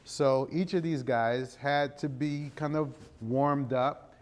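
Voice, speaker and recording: A man's voice: mid-range voice, fairly even intonation, slow, a little mellow. A middle-aged American man answering interview questions conversationally, explaining things and often checking in with his listeners. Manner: explanatory, punctuated with "you know" and "right?" check-ins. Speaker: interview guest, creative director and video producer. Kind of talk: conversational interview answers before a live audience.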